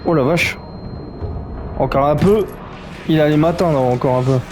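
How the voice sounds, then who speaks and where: Man, gaming commentary, fairly high voice, joking, reactive, soft game music behind. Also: measured pace. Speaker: gaming streamer. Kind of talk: let's play commentary.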